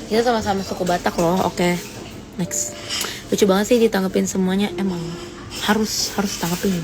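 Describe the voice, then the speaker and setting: A teenage girl chats on a phone livestream, reading out comments in a playful, teasing way. Her pitch sits in a middle range for a woman, and clear music plays behind her throughout. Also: fairly even intonation, brisk. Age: teens. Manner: teasing, playful, rises high when mock-outraged. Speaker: livestream host. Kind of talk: casual live chat reading comments.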